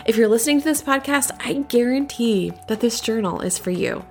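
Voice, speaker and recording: A woman's voice: on the high side, lively intonation, a little fast, a little mellow, soft background music. Upbeat woman reading an ad. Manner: upbeat, promotional. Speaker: ad reader. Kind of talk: scripted ad read.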